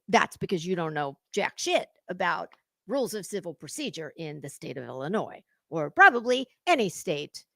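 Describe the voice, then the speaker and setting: A woman hosting a gossip commentary show, reading aloud dramatically and commenting with blunt, scornful sarcasm and emphatic, drawn-out words. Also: medium pitch, creaky, sharp tone, no room sound, clean studio sound.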